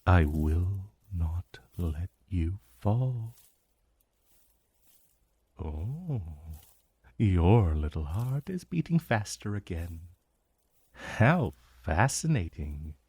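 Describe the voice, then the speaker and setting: An ancient male dragon acted out by a man speaks in a low, husky murmur, recorded close and dry. He is formal and silkily tender, with lingering pauses and a possessive, faintly threatening edge, as suits a romantic fantasy audio roleplay. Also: sing-song, warm tone, dry recording, exaggerated voice. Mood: soothing, seductive.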